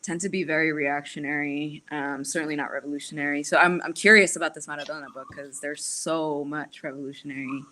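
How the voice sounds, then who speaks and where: A woman from Peru who laughs often and talks in an animated way, a guest in a casual political chat. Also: deep voice, a little fast, few pauses.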